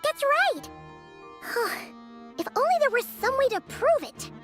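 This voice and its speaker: Little earnest fairy sidekick for a game, super high squeaky kid voice, music behind. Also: female voice, very animated, slow pace, fairly bright tone, full character voice. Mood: eager, wistful. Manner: refers to herself in third person, emphatic. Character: small childlike floating fairy companion, chirpy and earnest. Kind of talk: video game voice acting.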